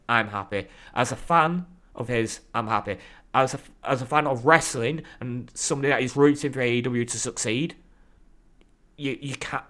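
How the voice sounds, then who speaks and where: A man co-hosting a casual wrestling podcast, talking in an animated, rambling way and swearing freely. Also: mid-range voice. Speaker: podcast co-host. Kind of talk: casual chat.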